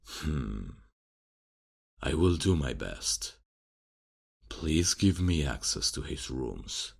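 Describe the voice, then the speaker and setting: A man playing a learned, measured uncle who advises a king in a fantasy audio roleplay, speaking formally. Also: extremely low pitch, big pitch swings, vocal fry, husky, full character voice. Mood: measured, resolved.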